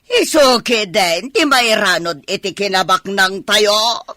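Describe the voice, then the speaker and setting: Casting: woman playing sceptical, worrying older mom for radio drama, bright forceful tone, dry sound. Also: medium pitch, expressive, slow, no echo. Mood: doubtful, worried. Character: doubtful older mother fretting over her son. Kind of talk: Ilocano radio drama acting.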